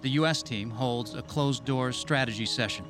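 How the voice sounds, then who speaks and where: A man's voice: mid-range voice, moderate pace. A man delivering scripted narration as the narrator of a documentary. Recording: music under the narration. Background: background music.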